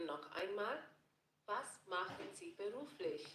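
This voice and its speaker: Woman, language teacher, scripted lesson, careful repetition, mid pitch, echoey sound. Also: animated, fairly bright tone, slight background noise. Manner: slow, careful repetition of phrases. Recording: roomy echo.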